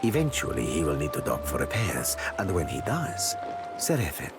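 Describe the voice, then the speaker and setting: An actor playing a suave, pompous gentleman pirate captain in a video game, speaking formally with flowery, calculating courtesy. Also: very deep voice, wildly swooping pitch, moderate pace, loud music behind the voice, full character voice. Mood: smooth, persuasive. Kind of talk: video game character dialogue.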